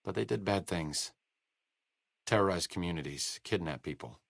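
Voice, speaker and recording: A man's voice: sits low, moderate pace, gravelly, dry recording. An actor playing a young, learned male scientist companion in a game, with a dry, sardonic wit and educated phrasing. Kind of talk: studio game voice acting. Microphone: close studio mic.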